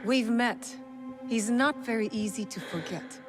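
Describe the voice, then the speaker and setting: A woman's voice: on the high side, a little slow, music in the background, natural voice. A woman playing a guarded, vengeful young warrior in a video game, terse and direct. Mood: guarded, resolute. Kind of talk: dramatic video game cutscene acting.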